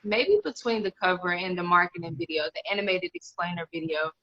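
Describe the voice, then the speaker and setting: A woman in her thirties talks openly as a guest author, circling back and restarting her thoughts as she goes. Her voice is bright and forward. It reaches us through a video call with dull, lo-fi livestream audio. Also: lowish voice, fairly quick. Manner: candid, rambling, many restarts. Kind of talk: casual interview chat.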